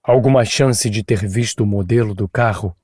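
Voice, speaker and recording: A man's voice: fairly low pitch, moderate pace, darkish tone, breathy edge, no room sound, studio quality, voice pushed down. A man doing a firm, probing police chief. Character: insistent male police chief questioning a witness.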